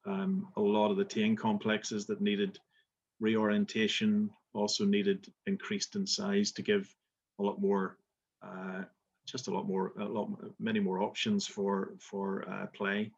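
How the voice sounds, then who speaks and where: A man's voice: on the low side, monotone, measured pace, vocal fry, husky, dull audio. A middle-aged man from Belfast with a Belfast accent, a golf resort manager answering interview questions with many fillers and restarts. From Northern Ireland.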